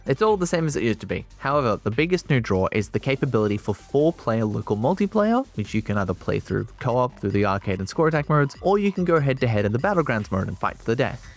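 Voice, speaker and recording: Man, medium-pitched voice, scripted reviewer narration, wry colloquial delivery, background music. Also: very animated, fast, few pauses. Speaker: video game reviewer. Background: music behind the voice.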